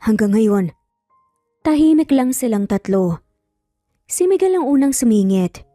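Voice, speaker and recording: A Filipino-accented woman tells a story as scripted, dramatic narration. Her voice is soft-toned and on the high side, recorded dry with faint music underneath. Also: big pitch swings, moderate pace, no room sound.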